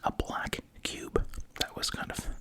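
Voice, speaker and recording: An ASMR host speaking entirely in a soft, breathy whisper, musing casually while chewing gum. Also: measured pace.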